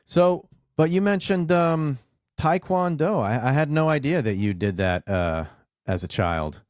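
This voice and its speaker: A man's voice: on the high side, unhurried, no room sound, studio quality. A man in his forties hosting a podcast, chatting casually and rambling through stories with plenty of fillers. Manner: relaxed, rambling storyteller with many fillers. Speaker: podcast host. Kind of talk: casual interview chat.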